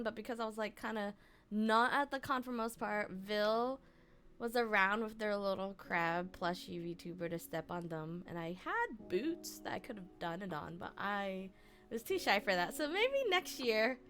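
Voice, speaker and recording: A woman's voice: medium pitch, lively intonation, moderate pace, fairly bright tone. A young woman streamer with a slightly scratchy throat, chatting casually and giggling with her live chat. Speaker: VTuber streamer. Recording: music playing behind.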